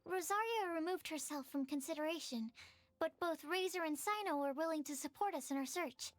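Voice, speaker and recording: A woman voicing a gentle, considerate young girl in a fantasy video game. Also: high-pitched, a little fast, lightly acted. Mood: helpful.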